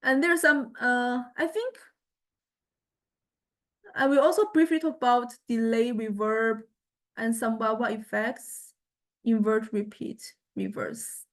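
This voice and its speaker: A woman lecturer giving an informal software demonstration, hesitantly thinking aloud as she goes. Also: a little high, fairly even intonation, takes it slowly, pauses often. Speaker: music technology lecturer.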